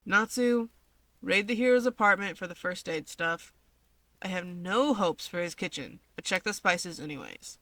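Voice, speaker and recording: A woman's voice: fairly low pitch, expressive, normal speed, a bit bright, no room sound. Woman voicing a sardonic, bossy young male villain. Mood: bossy, irritated. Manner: dry, threatening, teasing.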